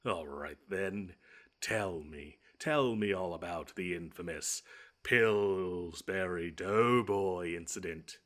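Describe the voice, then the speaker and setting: Audio roleplay monster, male actor: very low voice, smug, taunting, theatrical, menacing but quick to get flustered. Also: fairly bright tone, heavily acted. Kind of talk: scripted one-sided audio roleplay.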